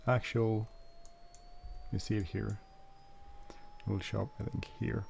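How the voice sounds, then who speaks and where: A man's voice: on the low side, little pitch movement, slow pace, creaky, darkish tone, slight background noise. A man who is not a native English speaker, casually walking through a flight simulator, hesitant with many fillers and self-corrections. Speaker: flight sim video host. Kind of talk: casual gameplay walkthrough.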